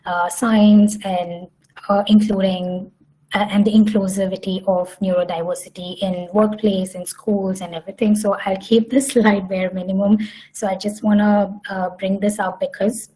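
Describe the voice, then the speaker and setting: Young woman presenter, mellow tone, halting delivery with restarts. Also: medium pitch, level tone, poor audio. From Hyderabad, India. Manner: hesitant, many fillers and restarts. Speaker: summit speaker. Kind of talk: online conference presentation.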